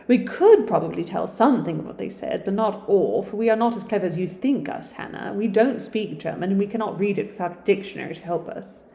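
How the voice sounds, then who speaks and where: A woman's voice: mid-range voice, a little fast, creaky, few pauses, warm tone, reverberant, natural voice. A woman doing a refined young sister. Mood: polite. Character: two young educated sisters, polite and studious.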